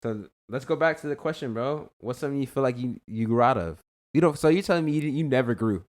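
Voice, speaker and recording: A man's voice: medium pitch, expressive, quick pace, dry recording, clean studio sound. A young man co-hosting a podcast, talking casually and loosely with lots of fillers and restarts. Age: young adult. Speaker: podcast co-host. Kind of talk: casual chat.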